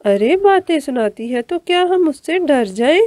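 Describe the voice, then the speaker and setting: A woman's voice: high-pitched, big pitch swings, a little slow, darkish tone, no echo, voice pushed up. A woman voicing a protective, outspoken older auntie bird in a cartoon, motherly and indignant. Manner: indignant, motherly, plain-spoken. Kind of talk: Hindi cartoon story voice.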